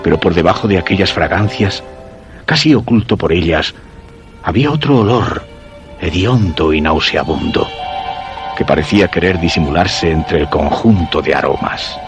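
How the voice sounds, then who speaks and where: Deep-voiced, brooding ailing husband. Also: male voice, lively intonation, over music. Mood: brooding, uneasy. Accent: Castilian Spanish. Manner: measured, literary, brooding delivery. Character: ailing, suspicious bedridden husband telling his macabre tale. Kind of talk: Spanish radio suspense drama narration.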